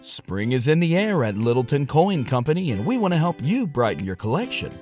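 A man's voice: a little high, big pitch swings, fairly quick, darkish tone. A man reading a scripted, upbeat commercial as a voice-over. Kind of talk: scripted upbeat advertising read. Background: faint music.

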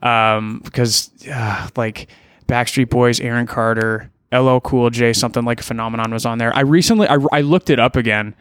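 A man's voice: medium pitch, steady tone, normal speed, a bit bright, clean tone, dry recording, clean studio sound. A young man from Ontario, a musician guest on a podcast, rambling and hesitant with many restarts. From Ontario, Canada. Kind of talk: casual podcast interview.

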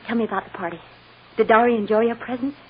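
A woman's voice: on the high side, fast, close to an everyday voice. An actress playing an anxious, devoted woman prisoner in an old radio drama, earnest, emotional and pleading. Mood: eager, tender. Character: anxious, devoted jailed woman awaiting trial. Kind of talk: 1940s-50s radio drama acting. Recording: muffled, noisy old radio recording.

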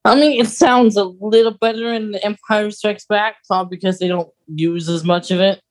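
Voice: mid-range voice, steady tone, normal speed. A podcast co-host chatting casually and emphatically about opinions, in an informal conversational way. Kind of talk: casual chat, opinionated film and radio drama discussion.

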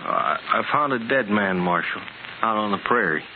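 An actor playing a timid, humble cowboy in a 1950s radio western, halting and nervous as he speaks. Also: lowish voice, lively intonation, close to an everyday voice. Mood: troubled. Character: timid, hesitant young-sounding humble male cowboy. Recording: tinny, noisy old radio recording.

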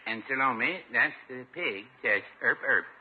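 An actor playing a mild, fussy older small-town druggist, dry and hesitant, in 1950s radio sitcom style. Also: male voice, mid-range voice, measured pace, lightly acted. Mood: earnest. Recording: muffled, noisy old radio recording.

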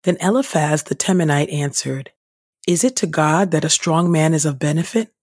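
A woman reading scripture aloud for a devotional podcast in a measured, deliberate, formal way. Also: low-pitched, darkish tone, breathy edge, no room sound, studio quality. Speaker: scripture reader and podcast host.

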